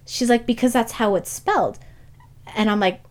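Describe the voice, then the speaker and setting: A young woman co-hosting a podcast, English not her first language, chatting casually and acting out quoted dialogue with big jumps in pitch. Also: moderate pace. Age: young adult. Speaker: podcast co-host. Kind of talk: casual chat and storytelling.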